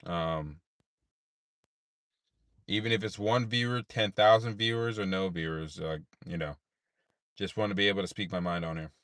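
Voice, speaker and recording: A tired man with a deep voice, rambling in a laid-back way with many fillers while livestreaming from his parked car at night. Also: moderate pace, pauses often, a bit bright, no room sound, clean studio sound. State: tired, unable to sleep. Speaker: streamer. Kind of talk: late-night livestream chat.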